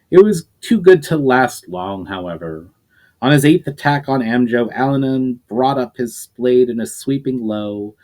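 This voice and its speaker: A man who is the author reading his own novel aloud, narrating at a steady, unhurried pace with occasional slips. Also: lowish voice.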